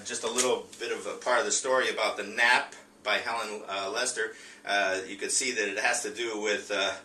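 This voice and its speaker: Halting man teaching, roomy and distant. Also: lowish voice, bright, forceful tone. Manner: hesitant, halting, with frequent long filler pauses. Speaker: teacher presenting a reading lesson. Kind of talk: informal lesson presentation. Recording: roomy, distant mic with faint noise.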